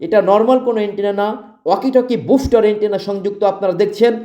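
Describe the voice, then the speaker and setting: A man with a Bangladeshi accent delivering an emphatic, repetitive promotional sales pitch as a product advertiser. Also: fairly even intonation, rapid-fire, mellow, highs cut off. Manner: emphatic, repetitive, listing features.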